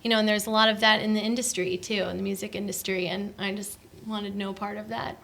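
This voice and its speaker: A woman's voice: mid-range voice, little pitch movement, quick pace, vocal fry, a bit bright. A young woman, a musician, chatting casually and bubbly in a recording studio interview. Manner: bubbly, chatty, many fillers. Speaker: musician guest.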